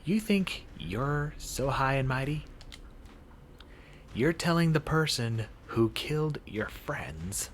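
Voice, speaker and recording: A man voicing a cocky, bitter villain in a visual novel, goading an opponent with drawn-out questions. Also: medium pitch, very animated, pitched above the performer's own voice. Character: taunting, bitter battlefield villain, cocky and menacing. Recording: clear background noise.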